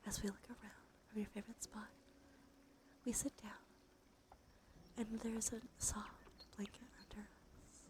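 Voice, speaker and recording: A woman giving an ASMR guided visualization in a slow, soothing, gently coaxing manner. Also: medium pitch, little pitch movement. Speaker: ASMR streamer. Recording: music behind the voice. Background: music.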